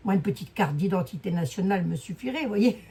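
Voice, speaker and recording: A woman with a hoarse voice giving a casual, rambling card reading, full of fillers and asides to her viewers. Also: medium pitch, expressive, fast talker, warm tone. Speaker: clairvoyant doing a card reading. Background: fan noise.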